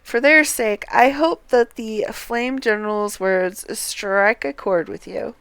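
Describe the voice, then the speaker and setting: A woman game streamer reading dialogue aloud on a livestream in a deliberate, sometimes halting way, with casual commentary. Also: fairly high pitch, fairly bright tone, smooth, no room sound.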